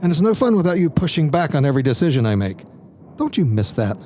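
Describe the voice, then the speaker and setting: A man's voice: fairly high pitch, sing-song, quick pace, dark tone, a little hiss or hum. A man playing a smug, egotistical stage magician in an audio drama, taunting and persuasive in a theatrical way. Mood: coaxing. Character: smug, egotistical, coaxing male magician, former stage star.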